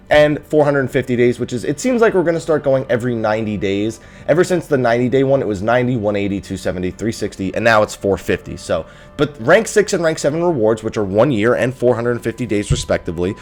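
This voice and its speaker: A man with a stuffy, nasal voice rattles through a casual gaming news update, his pitch swinging up and down as he jokes at himself. Faint music plays quietly behind him. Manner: chatty, lively, self-amused asides. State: stuffy, nasal from just waking.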